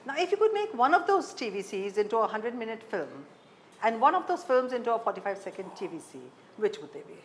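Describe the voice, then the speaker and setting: Higher-pitched woman interviewing. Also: sing-song, fast talker. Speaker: interviewer, journalist. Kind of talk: conversational interviewing. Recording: faint background noise.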